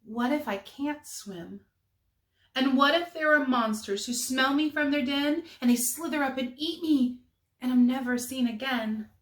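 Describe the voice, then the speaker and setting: A woman's voice: sits high, a little slow, a bit bright, reverberant. A woman voicing an eager, childlike little boy penguin for a storybook read-aloud. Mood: worried.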